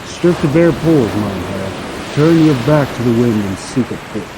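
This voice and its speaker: An alarmed sailor, played by a man, pleads respectfully at a middling pitch. He speaks in old radio drama style with loud storm noise behind. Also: lively intonation, normal speed, close to an everyday voice. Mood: alarmed, pleading.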